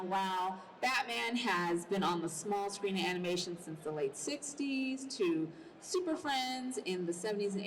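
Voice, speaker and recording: A woman hosting a live convention panel as moderator, speaking with excited enthusiasm. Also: lowish voice, a little hiss or hum, low-quality recording.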